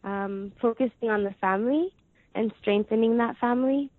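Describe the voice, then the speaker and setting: Young woman, lots of ums, chatty and relaxed, dry phone-ish sound. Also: a little high, moderate pace, no room sound. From United States. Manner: hesitant, many ums, soft laughs. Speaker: guest. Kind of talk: casual interview answers. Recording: dry tinny phone-like line.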